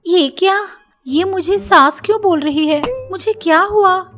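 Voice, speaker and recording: A woman's voice: very high voice, a little slow, fairly bright tone, soft background music, pitched above the performer's own voice. A woman voicing a sarcastic, scolding young daughter-in-law in a family story. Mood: indignant. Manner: scolding, complaining.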